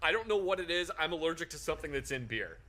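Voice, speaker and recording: Young army vet co-host, very bright tone, blunt, swears easily. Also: male voice, fairly high pitch, lively intonation, quick pace. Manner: blunt, swears, laid-back. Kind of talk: casual reaction chat.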